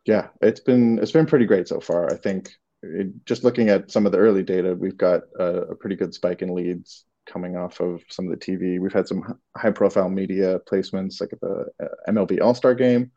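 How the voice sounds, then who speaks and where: A man's voice: low voice, steady tone, a little fast, warm tone, dull audio. A man from Canada with a Canadian accent, answering interview questions casually and hesitantly, with many restarts. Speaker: creative director, guest.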